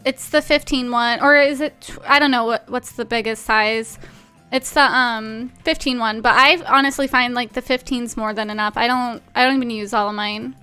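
Bright, high-voiced young woman streamer. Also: fairly even intonation, moderate pace, clean tone, faint music behind. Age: 20s. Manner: chatty, informal, frequent "like". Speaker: game streamer. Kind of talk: casual live-stream chat while playing a game. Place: small indoor room at home.

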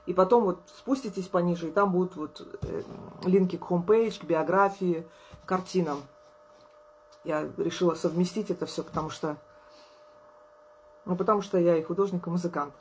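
A woman in her thirties, an artist vlogger, chatting casually to camera at a table indoors, tired and low on energy but friendly, laughing often. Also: normal speed, pauses often, a little mellow, slight background noise, poor audio. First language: Russian. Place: indoors at a table, small room.

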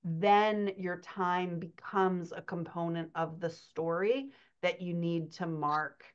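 A middle-aged woman coaches in a casual, conversational podcast style. Her voice sits a little low, and the video-call recording sounds slightly dull. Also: steady tone, slow pace, clean tone. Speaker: dialogue coach, podcast guest host. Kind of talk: casual explanatory podcast chat.

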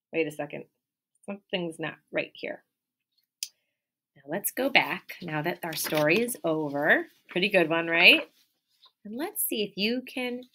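A woman teacher in her thirties reads a picture book aloud, taking her time over each line. Her voice is bright and a touch low, and she livens the story with gasps and questions for the children. It is captured on a close webcam in a classroom. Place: classroom or office with window and colorful wall hanging. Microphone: webcam at close range.

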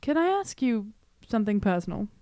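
A woman's voice: medium pitch, very animated, a little fast, dark tone, no echo. Young woman in dry close-mic game chat. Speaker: tabletop game player. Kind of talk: casual role-play chat. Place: small indoor studio room. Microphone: tabletop microphone, close.

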